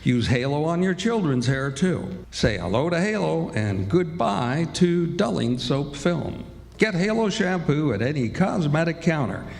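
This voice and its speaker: A man's voice: fairly high pitch, very animated, unhurried, gravelly. A man announcing in old-time radio style, with a polished, salesmanlike delivery. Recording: live hall, faint music behind.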